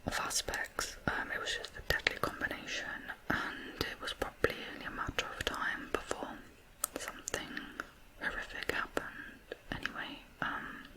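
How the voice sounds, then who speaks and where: An ASMR host narrating true crime in a soft, unvoiced whisper, reflective and somewhat hesitant. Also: moderate pace, rough recording.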